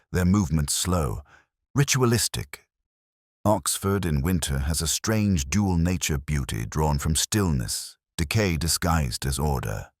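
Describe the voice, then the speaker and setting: A man narrating a literary detective drama in the first person, measured, brooding and reflective, as a detective recounting a case. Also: very deep voice, no echo, clean studio sound.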